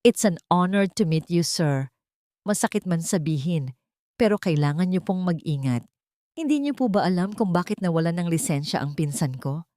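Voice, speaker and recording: A woman's voice: on the low side, animated, moderate pace, dark tone, dry recording, clean studio sound, close to an everyday voice. A woman voicing a mocking, smugly polite music teacher who insinuates and slanders in an audiobook drama. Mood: smug, accusing. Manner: taunting, insinuating.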